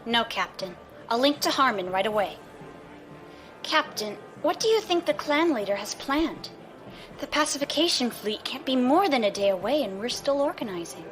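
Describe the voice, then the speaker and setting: An actress playing a friendly, loyal starship executive officer, respectful and chatty, in an audio drama. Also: female voice, fairly high pitch, animated, normal speed, fairly bright tone, soft background music. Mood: concerned.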